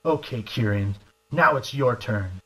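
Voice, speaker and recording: A man's voice: medium pitch, expressive, a little slow, sharp tone. A man playing a determined government agent in an arcade zombie game, with stilted, declamatory delivery. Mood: solemn. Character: determined male government agent hunting a villain among zombies. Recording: echoey, distant game voice clips.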